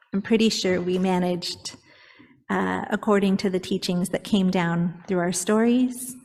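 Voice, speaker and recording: A woman's voice: mid-range voice, a little mellow. Reflective woman speaking in a reverberant hall. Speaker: poet speaking on a panel. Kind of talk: reflective panel talk.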